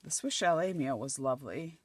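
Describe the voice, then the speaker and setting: A middle-aged woman from Nova Scotia chats as she crafts, thinking aloud as she places each piece. Her voice is slightly croaky and dry. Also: low-pitched, lively intonation, a little fast, a little hiss or hum. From Nova Scotia, Canada. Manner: chatty, thinking aloud while gluing collage pieces. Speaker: craft channel host. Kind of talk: casual crafting chat.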